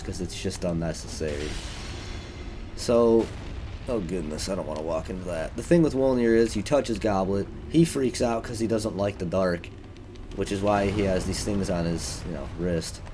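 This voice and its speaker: A man with a low-pitched voice talks through gameplay in a casual, rambling way. His delivery is wry and informal, like a let's player narrating as he goes. There is clear noise behind him, with game ambience. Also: normal speed, dark tone.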